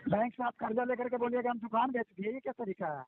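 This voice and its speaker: A man's voice: extremely high pitch, steady tone, fast, slight background noise. A man, a loan recovery official on a recorded phone call, scolding and pushing repetitively for payment. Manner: pushy, scolding, repetitive, interrupting. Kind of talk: phone argument demanding repayment. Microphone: telephone call recording.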